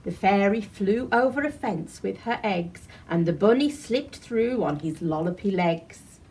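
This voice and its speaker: A woman reading a rhyming children's picture book aloud as a storyteller, in an animated, deliberate way. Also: mid-range voice, slightly rough, low-quality recording. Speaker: library storyteller.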